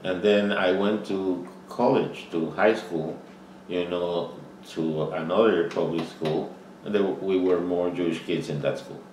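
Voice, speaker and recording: Older Costa Rican man, very deep voice. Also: steady tone, a little mellow. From Costa Rica. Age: 70s. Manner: halting, frequent "you know" fillers. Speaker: interviewee. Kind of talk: oral history interview. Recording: roomy, distant mic with faint noise.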